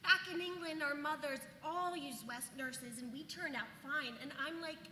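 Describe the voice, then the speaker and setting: A woman's voice: high voice, normal speed, bright, forceful tone. A woman acting a chatty, fed-up colonial young mother in a staged play reading, gossipy and sarcastic in modern casual speech. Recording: echoey hall, faint noise.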